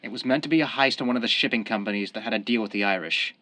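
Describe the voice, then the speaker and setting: Guy acting desperate young mob killer in a radio crime drama, tense sharp voice, earnest, dry sound. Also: on the low side, rapid-fire, dry recording. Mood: earnest, regretful. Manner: earnest, defensive, pleading. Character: desperate, cornered young family man turned mob killer.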